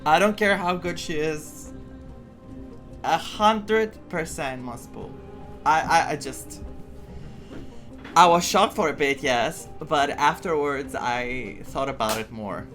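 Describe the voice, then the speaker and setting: A video game streamer giving casual reaction commentary, excitable and dramatic, repeating phrases for emphasis. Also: mid-range voice, slow. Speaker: streamer playing a story game. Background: game music.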